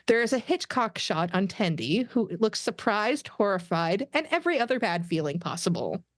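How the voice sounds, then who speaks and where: A woman narrates screen directions at a table read. Her voice is mid-pitched, recorded close and dry with little room sound. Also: very animated, moderate pace, dry recording. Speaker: narrator reading stage directions.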